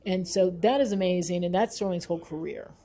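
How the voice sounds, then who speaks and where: A woman's voice: lowish voice, fairly quick, warm tone. A woman speaking as an interview guest and researcher, earnest and emphatic, repeating phrases for weight. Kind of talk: thoughtful spoken interview.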